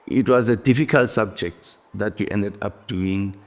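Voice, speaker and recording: A South African man in his sixties, reflecting slowly on his past in an interview. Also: on the low side. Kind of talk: recounting personal history.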